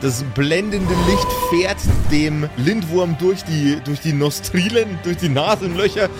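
Guy game mastering a pen and paper session, bit high voice, music playing behind him. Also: a little slow. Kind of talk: narrating an improvised tabletop role-play. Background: background music.

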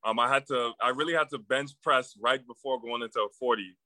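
A young man from the Bahamas, a newly drafted football player, answering press questions in a halting way with many fillers. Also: medium pitch, fairly even intonation, sharp tone, no room sound. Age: young adult.

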